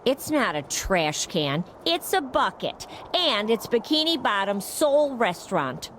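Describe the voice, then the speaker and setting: A woman's voice: on the high side, big pitch swings, unhurried, slight background noise. A woman voicing a practical, prim computer wife in a cartoon game, with dry, precise delivery. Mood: defensive.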